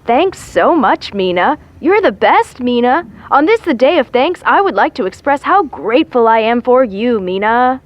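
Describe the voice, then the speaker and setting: A woman's voice: a little high, moderate pace, hardly any pauses. An actress playing a dry, teasing young woman calling a friend on the phone. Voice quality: wry, deadpan teasing. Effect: phone call. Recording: muffled phone-line sound.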